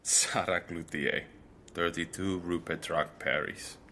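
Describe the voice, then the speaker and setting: Confused earnest young guy, deep voice, sharp tone, faint background noise. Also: fairly even intonation, heavily acted. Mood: musing. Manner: puzzled, earnest, quick to protest. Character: bewildered young man writing to a dead author.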